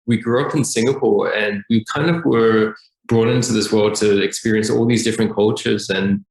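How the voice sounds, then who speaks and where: A man from Australia, a company founder, talking casually as a podcast guest over a remote call, relaxed and full of filler ums. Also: fairly low pitch, normal speed. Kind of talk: casual interview talk. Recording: echoey room.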